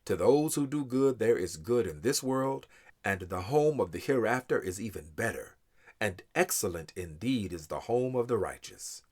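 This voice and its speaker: Deep-voiced man reciting scripture, widely varied pitch. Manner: measured, deliberate delivery with dramatic emphasis and pauses. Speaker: reader of scripture translation. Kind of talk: solemn scripture recitation in English.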